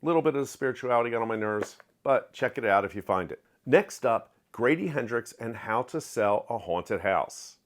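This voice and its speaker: A middle-aged man talks through his monthly reads in a casual, opinionated way, his voice sitting at an ordinary middle pitch. He is recorded close to a webcam in a home study and gestures a lot with his hands as he talks. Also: moderate pace, hardly any pauses. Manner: candid, opinionated, animated hand gestures. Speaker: book vlogger. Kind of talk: casual reading wrap-up review. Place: home study with shelves of collectibles. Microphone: webcam framing, close to camera.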